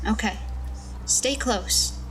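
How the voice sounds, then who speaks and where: A woman playing a hot-headed, distrustful alien fighter, sharp and scornful, in a sci-fi game fan dub. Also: a little high, very slow, a little hiss or hum. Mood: urgent, wary. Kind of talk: visual novel fan dub acting.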